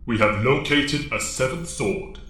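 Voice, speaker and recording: An actor playing a stern, fatherly alien mentor in an audio drama, speaking formally and with measured authority. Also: mid-range voice, a bit bright, roomy sound, lightly acted. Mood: grave, instructive.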